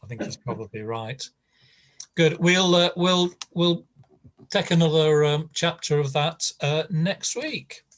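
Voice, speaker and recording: Slow, hesitant man teaching. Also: fairly high pitch, a bit bright, a little hiss or hum. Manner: slow, hesitant, filler-heavy. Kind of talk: online class.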